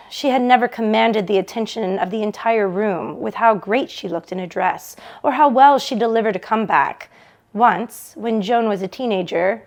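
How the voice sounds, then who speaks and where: A woman with a fairly high-pitched voice reads prose fiction aloud. Her delivery is expressive storytelling, shaped like an audiobook narration for a book club. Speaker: bookshop read-along reader. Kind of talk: expressive audiobook-style reading of a novel.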